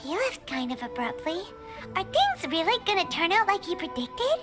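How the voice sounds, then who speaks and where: This is a tiny, excitable fairy sidekick from a video game. Her voice is squeaky and very high, bouncing wildly up and down. She chirps her lines in quick exclamations. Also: loud music behind the voice. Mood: doubtful. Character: small excitable floating fairy companion.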